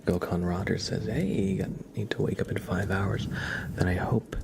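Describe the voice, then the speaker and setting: Low, creaky, whispery ASMR man. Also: fairly even intonation. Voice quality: soft, breathy, often whispered. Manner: slow, halting, rambling. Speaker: ASMR livestream host. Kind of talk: ASMR, casual chat with viewers. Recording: clear background noise.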